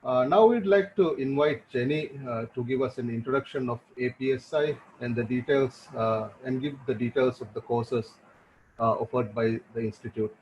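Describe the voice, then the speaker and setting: A man moderates a webinar, halting and stopping often on small fillers. His mid-range voice comes through a muffled video call with a faint hiss behind it. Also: medium pitch, a little mellow. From Maldives.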